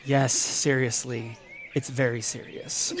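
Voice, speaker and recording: A man acting in an audio drama as a caring, excitable and devoted young friend, earnest and expressive. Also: mid-range voice, slow pace, slight background noise. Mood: mock solemn.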